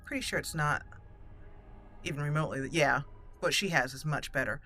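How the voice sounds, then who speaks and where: Bright-voiced woman doing game commentary. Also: low voice, a little fast. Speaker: gaming channel host. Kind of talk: roleplay let's play commentary.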